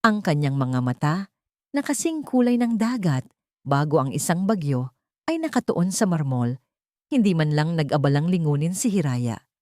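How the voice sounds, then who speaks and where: A woman tells a dramatic story in a lowish voice. Her pitch moves animatedly through the scripted narration. The recording is dry and close. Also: sing-song, moderate pace, darkish tone, dry recording. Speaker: audiobook narrator.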